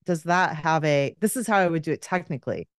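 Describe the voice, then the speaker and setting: A woman's voice: low voice, expressive, a little fast, no echo, clean studio sound. A middle-aged woman on a video call, patiently explaining a grammar point step by step as a guest expert.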